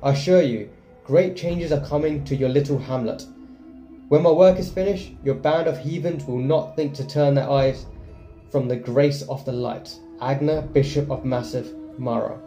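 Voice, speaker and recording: A male gaming host with a very dark, soft-edged voice at a medium pitch. He reads game text aloud and comments casually, in an echoey room with faint music behind him. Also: moderate pace.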